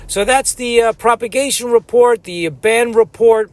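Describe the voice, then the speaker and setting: A man's voice: extremely high pitch, unhurried, dry recording. A man who makes amateur radio videos, speaking casually as he narrates and operates.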